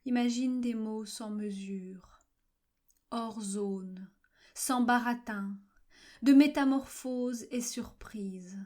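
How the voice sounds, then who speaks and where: A woman reciting literary poetry in measured, fragmented phrases with frequent pauses, at times breathy and near-whispered. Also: on the high side, a little mellow. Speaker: poetry reader. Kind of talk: slow literary poetry recitation for a video poem.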